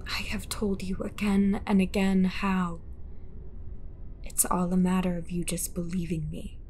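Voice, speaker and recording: A woman playing an eerie, coolly commanding fortune teller in an audio roleplay, speaking in a measured, deliberate way. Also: lowish voice, flat delivery, darkish tone, slight background noise, close to an everyday voice. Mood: cold, intent.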